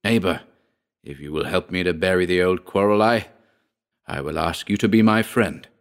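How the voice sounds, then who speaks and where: An injured nobleman, performed by a man reading a tale, proud yet relenting. The voice sits on the low side, with a weary, measured earnestness suited to a storybook. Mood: weary, earnest.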